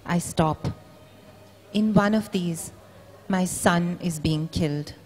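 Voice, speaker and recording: A woman's voice: lowish voice, takes it slowly, darkish tone. A woman giving a dramatic literary reading aloud at a live book event. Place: large indoor event venue. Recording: echoey hall.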